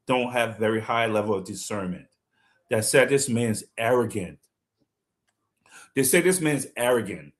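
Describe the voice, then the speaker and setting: A man's voice: medium pitch, fairly bright tone. A man hosting a talk show, speaking passionately and building to indignant emphasis. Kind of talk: heated talk show commentary.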